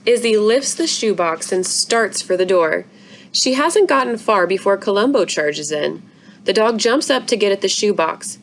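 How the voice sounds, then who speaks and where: A woman's voice: mid-range voice, expressive, normal speed, creaky, a little hiss or hum. A woman reading a children's book aloud expressively, as a story-time narrator. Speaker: library story-time reader.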